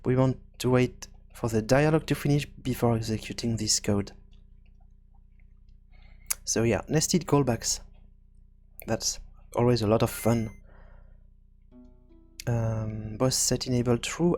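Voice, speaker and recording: A man hosting a casual screencast programming tutorial, thinking aloud in a halting way and often repeating words as he goes. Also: mid-range voice, fairly even intonation, darkish tone. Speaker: tutorial host and game developer.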